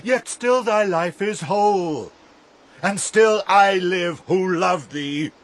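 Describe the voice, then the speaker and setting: A loyal knight devoted to his king. The voice is fairly high for a man and unhurried, with a formal, reverent delivery. It is classical radio drama in verse. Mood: devoted, earnest.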